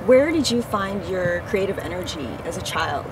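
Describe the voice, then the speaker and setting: A woman's voice: fairly low pitch, expressive, normal speed, distant mic, noisy background. A woman hosting an interview in a park, asking questions in an upbeat, encouraging manner. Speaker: interviewer host. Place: outdoor city park.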